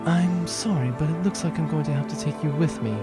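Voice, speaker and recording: A woman's voice: low voice, a little fast, music in the background, natural voice. A woman playing a cool, sardonic gunslinging infiltrator in a comic sci-fi audio drama, speaking precisely and with composure. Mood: wry, controlled. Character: cool, teasing female gunslinging space infiltrator.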